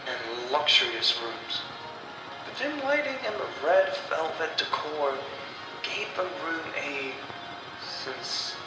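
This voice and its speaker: A man narrating a scripted horror story as the storyteller, in a deliberate, dramatic way with pauses. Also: mid-range voice. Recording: echoey, distant-sounding voice over music. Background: music.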